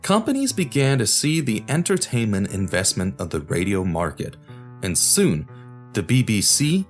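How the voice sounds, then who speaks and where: A man hosting a podcast, reading scripted narration with wry, informal asides. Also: mid-range voice. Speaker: podcast host.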